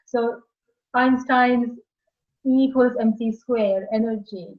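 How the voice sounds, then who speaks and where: A woman in her forties from India with an Indian accent, explaining her work in a measured, slightly halting way as an interview guest. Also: on the high side, fairly even intonation. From India (Maharashtra). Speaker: guest architect. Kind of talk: video call interview. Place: small room indoors. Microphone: earphone mic on video call. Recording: slightly dull video call audio.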